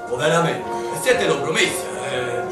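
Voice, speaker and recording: A man's voice: a little high, lively intonation, moderate pace. A man acting in a radio drama with broad, theatrical delivery. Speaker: actor. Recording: echoey, distant, with music.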